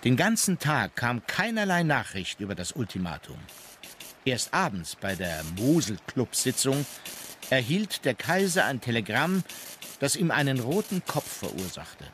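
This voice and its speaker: An actor playing a sober naval officer who recalls a voyage in formal, measured radio-play narration. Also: male voice, mid-range voice, very animated, a bit bright, slight background noise. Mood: composed, factual. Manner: formal, composed. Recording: music bed under the voice.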